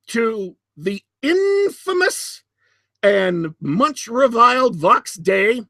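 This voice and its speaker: A man hosting a podcast, giving solo commentary in a deliberate, emphatic way with sardonic asides. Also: very high voice, fairly bright tone, no room sound. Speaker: podcast host.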